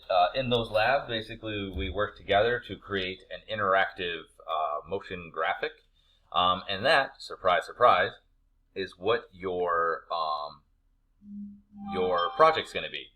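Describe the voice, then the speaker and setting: A man's voice: low voice, muffled recording. A man teaching a class, talking through an assignment informally in an easygoing, colloquial way. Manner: easygoing, colloquial, laid-back phrasing. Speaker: instructor of an animation class. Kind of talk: informal spoken assignment walkthrough.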